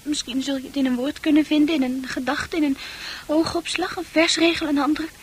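High-voiced, dreamy young woman played by an actress. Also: little pitch movement, brisk, a little hiss or hum, close to an everyday voice. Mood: wistful, earnest. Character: dreamy, sensitive, troubled young female confiding her secrets. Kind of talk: Dutch radio play acting.

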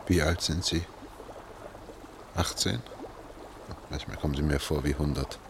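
Very deep, gravelly, steady man. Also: exaggerated voice. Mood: weary, gentle. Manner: measured, formal, soothing. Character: steady, protective family man, an engineer on the run. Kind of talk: German radio play acting. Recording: clearly noisy, with watery ambience.

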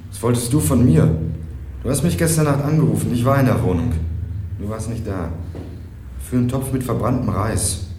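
Ein Schauspieler spielt einen jungen, skeptischen Studenten in einem Krimihörspiel, trocken und literarisch im Ton. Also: male voice, mid-range voice, brisk, pauses often, dark tone, slight background noise, lightly acted. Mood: sceptical, probing. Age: young adult. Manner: dry, wry, literary narrating. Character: young male student investigating, wry and sceptical. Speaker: first-person narrator and lead. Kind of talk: radio crime drama, narration and dialogue. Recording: music behind the voice.

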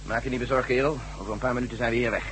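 An actor playing a brisk, cool-headed general with a dry, commanding manner in a science-fiction radio drama. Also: male voice, medium pitch, steady tone, a bit bright, natural voice. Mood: dry, calm. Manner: brisk, commanding, drily teasing. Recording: noisy hissy old radio recording.

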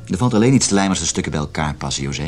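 A man's voice: extremely low pitch, expressive, rapid-fire, faint music behind. An actor playing a suave, sardonic married salesman who flirts and teases in a radio play. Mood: flirtatious. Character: flirtatious, cynical married salesman named John. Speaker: sales manager character.

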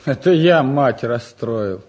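An actor playing a restless, cheeky and candid teenage son in a 1950s Soviet radio play, talking in an impulsive, colloquial way. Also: male voice, medium pitch, lively intonation, takes it slowly, a little mellow. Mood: frank, curious.

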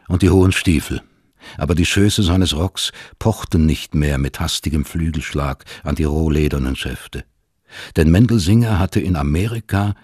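A man narrating a literary radio play as a calm storyteller, husky and measured in his delivery. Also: low voice, no room sound, studio quality, full character voice. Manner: measured, sober.

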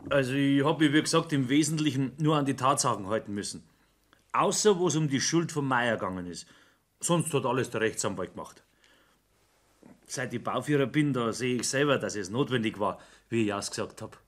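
A man's voice: mid-range voice, animated, a little fast, natural voice. An actor with a Bavarian accent playing an obliging, self-justifying foreman in a 1960s German radio play. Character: obliging construction foreman, self-justifying subordinate to his boss.

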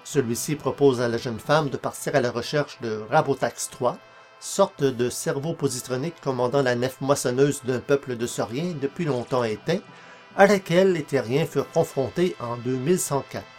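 A man's voice: mid-range voice, normal speed, a little mellow, soft background music. A man narrating a scripted science fiction audio drama serial.

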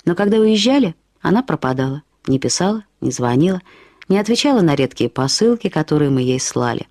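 An actress narrating a short story as a woman fondly and ironically recalling a friend, with expressive, slightly husky delivery. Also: low voice, brisk, no room sound, clean studio sound. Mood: fond, wry. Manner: acts out quoted remarks with lively intonation. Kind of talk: expressive literary storytelling, first-person reminiscence.

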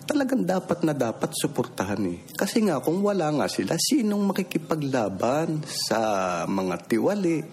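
Creaky-voiced Filipino man in his fifties. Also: on the high side, wildly swooping pitch, normal speed, darkish tone. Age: 50s. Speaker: villager giving a testimonial. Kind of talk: personal testimony, informal storytelling. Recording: noisy field recording with ambient sound.

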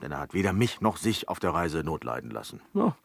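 An actor playing a cheeky, evasive manservant in a period comedy radio play, sly, playful and theatrical. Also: male voice, low voice, very animated, fairly quick, gravelly, slightly rough, dry recording, exaggerated voice. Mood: evasive, amused. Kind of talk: German radio play acting.

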